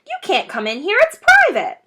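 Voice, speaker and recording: A woman's voice: high voice, huge pitch swings, a little fast, very noisy, voice pushed up. A woman voicing a small, territorial male rat from a children's storybook, snapping and shrieking indignantly. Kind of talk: children's storybook character reading.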